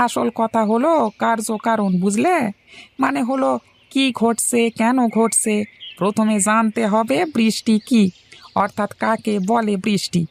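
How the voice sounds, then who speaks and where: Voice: medium pitch, creaky. An actor voicing a scornful, pedantic village rationalist man in a cartoon, lecturing. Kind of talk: cartoon dialogue.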